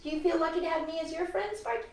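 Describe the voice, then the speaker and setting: A woman hosting a storytime for young children, reading and singing along in an animated, encouraging way and addressing her listeners directly. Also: very high-pitched, rapid-fire, reverberant. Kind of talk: read-aloud and sing-along for young children.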